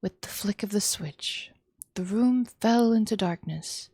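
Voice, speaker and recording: A woman's voice: mid-range voice, unhurried, darkish tone, breathy edge, no room sound. Woman narrating a visual novel, dry sound. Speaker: streamer. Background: music.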